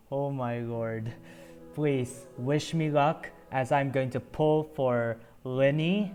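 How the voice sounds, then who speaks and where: A man streaming a video game, chatting casually and haltingly with lots of ums and uhs. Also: mid-range voice, a little mellow. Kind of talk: casual gameplay commentary. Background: game music.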